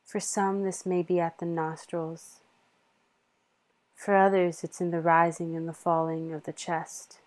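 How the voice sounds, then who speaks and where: A woman leading a guided meditation in a soothing, measured manner with long pauses. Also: fairly low pitch, flat delivery, darkish tone.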